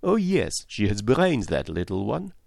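A man's voice: on the low side, big pitch swings, a little slow, creaky, a little mellow, dry recording, clean studio sound, full character voice. A man voicing a polite, inquisitive foreign detective in an audiobook, courteous and formally phrased as he probes. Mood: genial, curious.

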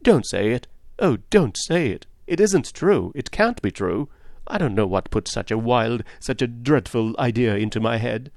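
A man's voice: mid-range voice, very animated, moderate pace, creaky, dry recording, studio quality, voice pushed up. A man voicing a blunt, stubborn middle-aged woman in an audiobook, brusque and vehement in manner. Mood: distraught. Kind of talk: audiobook character voice.

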